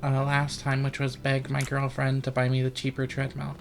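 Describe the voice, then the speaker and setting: A let's player giving casual, rambling gaming commentary, profane and deadpan, with keys clicking. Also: mid-range voice, normal speed, slight background noise. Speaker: let's play host.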